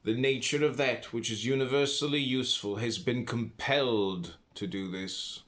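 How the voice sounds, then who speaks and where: A man from the United States reading a philosophical text aloud in a measured, deliberate way, phrase by phrase with pauses. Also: mid-range voice, a bit bright. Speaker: audiobook reader.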